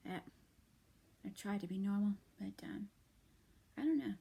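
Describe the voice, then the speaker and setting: Tired, hoarse young woman whispering softly. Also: mid-range voice. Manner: soft, halting, often whispery, many fillers and restarts. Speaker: vlogger. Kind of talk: late-night rambling vent to viewers.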